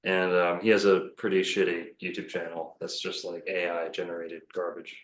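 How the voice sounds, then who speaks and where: A man's voice: sits low, little pitch movement, normal speed, reverberant, a little hiss or hum. A man talking casually as a podcast guest, rambling and self-assured with many fillers and restarts. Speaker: podcast guest, bookstore owner.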